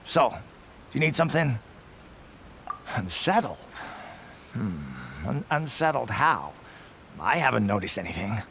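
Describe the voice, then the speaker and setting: An actor playing a sleepy, easygoing loner soldier in a video game, languid and laid-back. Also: male voice, fairly low pitch, very animated, a little slow, long pauses, a bit bright, slight background noise, heavily acted. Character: drowsy, easygoing loner soldier, keeps to himself.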